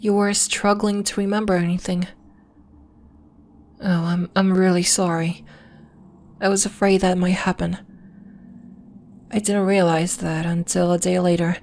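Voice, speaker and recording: A woman playing a gentle, earnest alien healer from the moon in an ASMR roleplay, speaking in a soft, measured, formal and reassuring way. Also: lowish voice, level tone, slight background noise. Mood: calm, guiding, then apologetic. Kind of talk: ASMR roleplay, scripted character acting.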